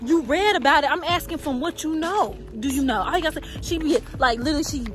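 A woman's voice: high voice, fast. A young woman chatting playfully on a road trip, teasing her friend in a casual vlog filmed on a phone. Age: young adult. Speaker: travel companion. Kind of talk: casual chat. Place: car and outdoors. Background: background music.

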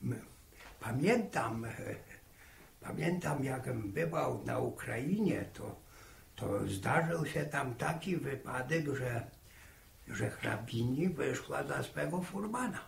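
Old village grandpa, unhurried, gravelly, halting storyteller, good-humoured, 1960s radio drama. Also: male voice, mid-range voice, expressive, full character voice. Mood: amused reminiscing. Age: older. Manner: halting, repeating words, chuckling storyteller. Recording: 1960 archive radio drama recording.

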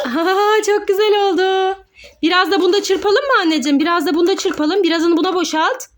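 Mom hosting a kids pretend play video, really high voice, coaxing, simple instructions. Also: female voice, normal speed, slight background noise. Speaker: host of a children's toy play channel, mother playing with her child. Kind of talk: playful narration of pretend play for children.

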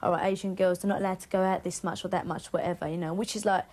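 A woman's voice: fairly low pitch, fairly even intonation, rapid-fire, darkish tone. A young woman with a Cockney accent, talking casually in an interview and filling her speech with "you know" and "like.". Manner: chatty, many fillers like "you know" and "like". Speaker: band member interviewee.

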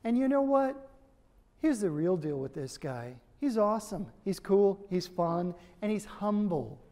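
A man's voice: sits high, animated, unhurried. A man in his fifties giving an enthusiastic stage introduction at a podium in a large hall. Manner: warm, enthusiastic, emphatic repetition. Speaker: event host introducing the speaker. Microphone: podium mic. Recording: reverberant hall with audience murmur.

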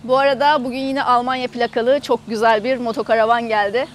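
A woman's voice: a little high, fairly even intonation, fairly quick, a little hiss or hum. A woman in her thirties giving a chatty, casual guided tour to camera outdoors, explaining things as a travel vlogger. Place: outdoors in an open camper park lot.

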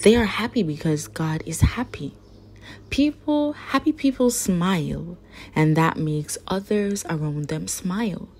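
A woman's voice: lowish voice, expressive, unhurried, a little mellow. A woman reading a children's religious picture book aloud, phrasing simply and carefully for young listeners. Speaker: read-aloud storyteller for children.

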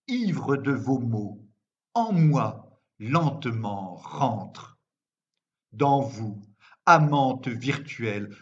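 Very slow-reading man reciting poetry. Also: mid-range voice. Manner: measured, deliberate phrasing with frequent pauses. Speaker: poet reading own work. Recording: dull, lo-fi sound.

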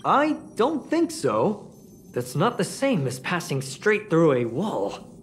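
A woman voicing an earnest, excitable young investigator in a sci-fi video game, expressively thinking aloud. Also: on the low side, slow pace, heavily acted. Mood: curious, analytical. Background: background music.

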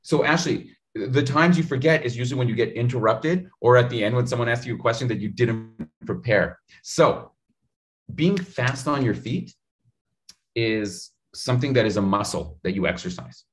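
A man in his thirties giving public speaking advice on a video call, talking in an animated, direct way with lots of gestures, recorded on a studio mic at home. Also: mid-range voice, moderate pace. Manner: animated, gestures a lot, talks straight to the viewer. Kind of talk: energetic advice in an interview. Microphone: studio mic in frame on a video call.

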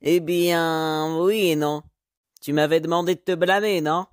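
Man voicing a sassy, higher-pitched demon ex-mobster. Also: steady tone, measured pace, dry recording, studio quality, close to an everyday voice. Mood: teasing. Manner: sassy, slangy. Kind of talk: French fan comic dub acting.

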